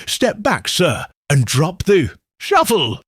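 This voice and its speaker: A brusque police inspector in a storybook mystery, voiced by a male narrator, barking short, curt commands. The voice sits higher than the narrator's own, with a husky grain and a dry, close sound. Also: huge pitch swings, slow, a bit bright, no echo, exaggerated voice. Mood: stern.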